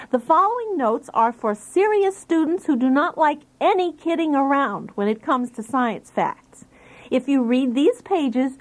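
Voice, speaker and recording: A woman playing a brisk, chirpy, eccentric schoolteacher in a children's audiobook, with deliberate, commanding enunciation. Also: high voice. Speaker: teacher character in children's read-along.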